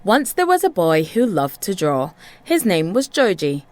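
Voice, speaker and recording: A woman tells a children's story with theatrical, rhythmic delivery. Her pitch moves widely and keeps the narration animated and expressive. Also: measured pace, no echo. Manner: dramatic, rhythmic delivery with repeated phrases.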